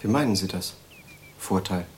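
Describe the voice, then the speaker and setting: An actor playing an earnest, inquisitive young writer in an audio drama, speaking in a hesitant, deliberate way. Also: male voice, low voice, slight background noise, lightly acted. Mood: inquisitive, tentative. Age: young adult.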